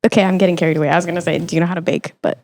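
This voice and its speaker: A young adult woman hosting a casual interview chat, reacting in an animated, teasing way. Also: fairly low pitch, very fast. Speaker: podcast host.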